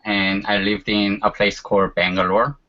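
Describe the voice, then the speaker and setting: A man's voice: lowish voice, level tone, moderate pace. A man in his forties whose first language is Korean, chatting casually as a guest and leaning on fillers like "you know". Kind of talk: casual chat. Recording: dull lo-fi sound.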